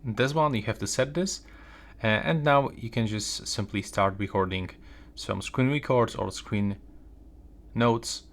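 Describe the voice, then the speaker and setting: A man's voice: medium pitch, measured pace, a bit bright. A man presenting an unscripted step-by-step phone tutorial. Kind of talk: unscripted step-by-step screen walkthrough.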